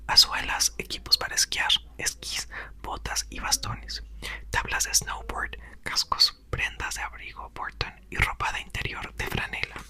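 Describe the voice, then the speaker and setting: A man softly whispering a novel aloud in an ASMR reading, close to the mic. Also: a little slow. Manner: soft whisper, occasional self-corrections. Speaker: ASMR reader.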